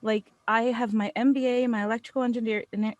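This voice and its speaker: An American woman chatting casually as a podcast guest, telling anecdotes in an animated, self-deprecating way. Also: a little high, a little fast, no room sound, highs cut off. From United States. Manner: animated storyteller, self-deprecating, acts out quotes. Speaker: podcast guest, voice actor and business owner.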